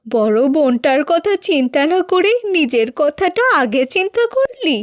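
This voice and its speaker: A woman's voice: high-pitched, very animated, moderate pace, dry recording, dull audio. A woman voicing a caring, admonishing middle-aged village mother in a cartoon. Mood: scolding. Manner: earnest, admonishing. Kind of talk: Bengali cartoon fairy-tale acting.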